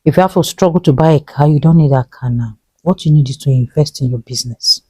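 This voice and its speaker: A woman in her thirties with a Nigerian English accent, earnestly pleading with viewers and repeating her points, dropping to a low hush for emphasis. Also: fast talker, slightly rough, no room sound. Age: 30s. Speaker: vlogger giving money advice. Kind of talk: earnest motivational talk to camera.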